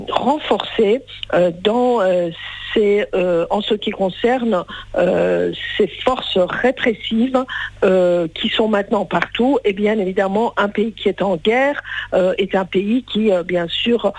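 A woman from Iran answering in an interview, grave and earnest, pausing often with filler sounds between phrases. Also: mid-range voice, dry recording, poor audio. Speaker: interview guest.

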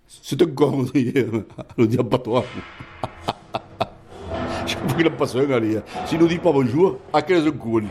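Teasing man over loud music. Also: medium pitch, a little slow. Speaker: interviewee. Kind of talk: joking casual chat.

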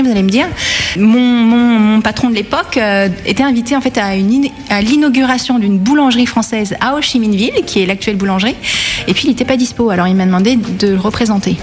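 A woman's voice: a little high, quick pace, slight background noise. Hesitant French woman in her thirties. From France. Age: 30s. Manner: hesitant, many fillers and restarts. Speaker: bakery manager. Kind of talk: interview answers.

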